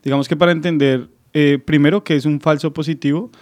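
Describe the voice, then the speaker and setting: Colombian man explaining, halting delivery. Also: a little high, steady tone, a little mellow, no echo. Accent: Bogotá Colombian. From Bogotá, Colombia. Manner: halting, searching for words. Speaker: interview guest, student activist. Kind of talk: explanatory radio interview answer.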